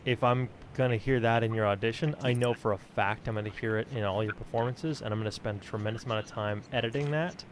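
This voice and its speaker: Man, mid-pitched, informal opinionated podcast host, slight background rumble. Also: steady tone, fast, hardly any pauses. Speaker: podcast host, audio editor. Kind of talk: casual podcast discussion.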